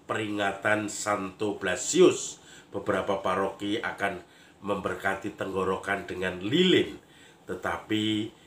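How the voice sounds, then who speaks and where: Hoarse priest guy with a cold, lowish voice, slow and chuckly, echoey room. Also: a bit bright, slight background noise. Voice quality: husky, a little nasal. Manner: chuckles often, storytelling; playful, mimics lion dance sounds. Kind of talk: homily.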